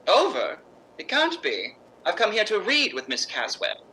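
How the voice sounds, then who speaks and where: A man playing an imperious, bitter, witty middle-aged stage actress in a theatrical 1950s radio drama style, with sardonic, drawn-out emphasis. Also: sits high, wildly swooping pitch, bright, forceful tone, breathy edge, heavily acted, low-quality recording. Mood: indignant.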